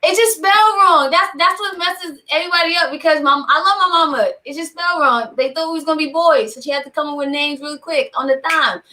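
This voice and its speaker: Bright, high-voiced chatty woman guest. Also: quick pace, few pauses. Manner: lively, laughing, chatty. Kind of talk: casual live chat.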